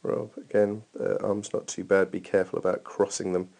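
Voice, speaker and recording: A man's voice: sits low, monotone, moderate pace, vocal fry, darkish tone. A man giving informal running technique feedback over a training video as a coach, thinking aloud in a hesitant way.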